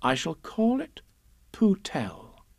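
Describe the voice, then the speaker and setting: A man voicing a calm young boy in a children's storybook, matter-of-fact and deliberate. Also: high voice, gravelly, no room sound, pitched above the performer's own voice, exaggerated voice. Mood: musing, playful.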